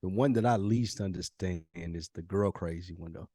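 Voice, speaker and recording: A man's voice: low voice, moderate pace, warm tone, dry recording, clean studio sound. An American man chatting casually on a podcast in a blunt way, with street slang and swearing. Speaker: podcast host. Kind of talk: casual chat.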